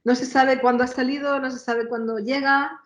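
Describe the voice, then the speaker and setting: A woman's voice: high voice, fairly even intonation, normal speed. A woman answering as an interview guest in a conversational way, searching for words and reformulating as she goes. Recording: roomy, dull lo-fi sound.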